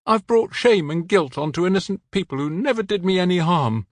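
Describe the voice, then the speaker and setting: A man's voice: high voice, animated, fairly quick, no room sound, studio quality, voice pushed up. A man voicing a weary, remorseful man in his forties. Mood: resigned.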